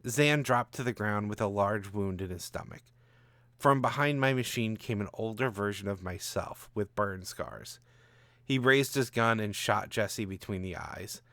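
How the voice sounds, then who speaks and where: A man's voice: on the low side, animated, measured pace, a bit bright, no echo. A man working as author and narrator, reading stories and commenting on his writing.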